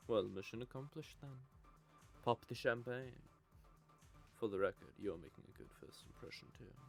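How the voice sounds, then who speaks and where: A man playing a bitter, sardonic male vampire who curses and mocks. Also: fairly low pitch. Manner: cursing, mocking, gravelly. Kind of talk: audio drama roleplay.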